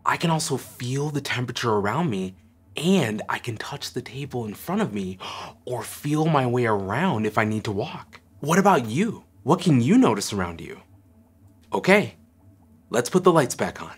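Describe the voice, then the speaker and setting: A man in his thirties hosting a children's science lesson, speaking brightly and encouragingly to the camera and to a puppet sidekick in a studio. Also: mid-range voice, big pitch swings, moderate pace, slightly rough. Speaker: children's science show host. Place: studio set.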